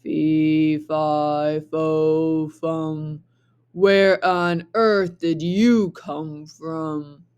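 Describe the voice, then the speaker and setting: A big, hungry storybook giant acted out by a woman. The voice booms, low and gruff, and drags out each word in a menacing chant. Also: fairly even intonation, extremely slow, darkish tone, muffled recording. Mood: booming, menacing. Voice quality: booming. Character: booming hungry giant, male, gruff, later whimpering.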